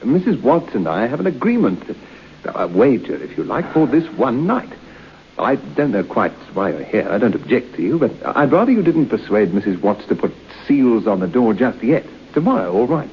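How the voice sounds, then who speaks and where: An actor playing an urbane, sceptical, self-assured gentleman in an old radio drama. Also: mid-range voice, sing-song, normal speed. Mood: breezy, confident. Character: breezy, sceptical gentleman making a wager. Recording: muffled old radio recording with hiss.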